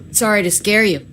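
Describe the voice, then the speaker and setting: A woman's voice: medium pitch, a little hiss or hum, close to an everyday voice. An actress playing a wry, pragmatic woman survivor who takes charge in a video game drama. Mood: earnest, hushed.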